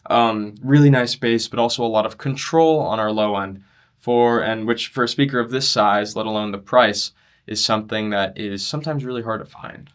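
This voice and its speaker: A man's voice: lowish voice, moderate pace, smooth. Young man explaining his product in an interview. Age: young adult. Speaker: loudspeaker designer and exhibitor. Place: demo room at an audio show.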